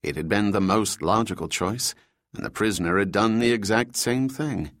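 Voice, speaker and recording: A man narrating a thriller audiobook in a steady, even reading voice. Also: fairly low pitch, moderate pace, dry recording, clean studio sound.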